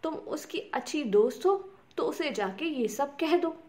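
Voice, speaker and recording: A woman's voice: sits high, lively intonation, unhurried, a little mellow. A woman voicing troubled, hardworking honeybees in a children's storybook, plaintive and complaining. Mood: upset, pleading.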